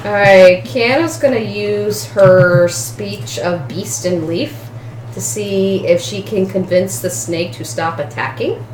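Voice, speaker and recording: A woman's voice: medium pitch, slow, a little mellow. A woman playing a tabletop role-playing game, chatty as she announces her character's moves. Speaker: player. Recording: roomy room with faint hiss.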